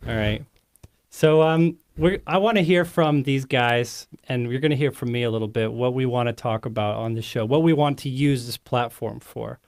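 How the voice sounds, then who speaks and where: A man's voice: mid-range voice, normal speed, darkish tone. Man hosting a podcast, rambling and friendly. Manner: friendly, rambling, self-correcting. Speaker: host. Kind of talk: casual podcast chat.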